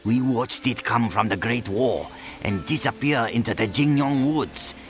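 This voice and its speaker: An actor playing a wary male witness from an ancient land in a video game, telling his account gravely. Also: mid-range voice, a little slow, faint music behind, lightly acted. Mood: grave, alarmed. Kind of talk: dramatic video game voice acting.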